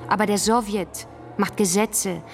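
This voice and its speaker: A woman's voice: mid-range voice, steady tone, unhurried, creaky, slight background noise. An actress playing a fervent revolutionary woman in a German radio drama, arguing in a scornful, didactic way. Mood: scornful, insistent. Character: fervent, combative revolutionary woman defending the workers' councils. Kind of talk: German radio drama dialogue, polemical debate.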